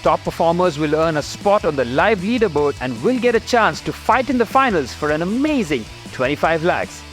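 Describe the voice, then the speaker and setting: A man with an Indian English accent presenting and commentating a fitness race in an upbeat, excitable way. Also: fairly high pitch, normal speed, faint music behind. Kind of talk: scripted sports commentary.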